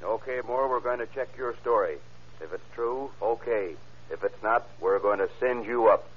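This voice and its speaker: A man's voice: mid-range voice, moderate pace, natural voice. An actor playing a stern, skeptical male railroad agent who questions suspects bluntly in an old-time radio crime drama. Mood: probing, firm. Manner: blunt, probing. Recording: muffled vintage radio recording.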